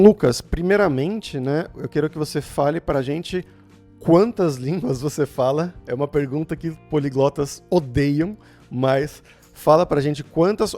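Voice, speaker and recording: A Brazilian man hosts a relaxed interview, speaking in an upbeat, chatty way. His voice sits fairly high for a man, and faint music plays quietly underneath. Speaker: host. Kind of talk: casual interview. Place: shared apartment in Turkey.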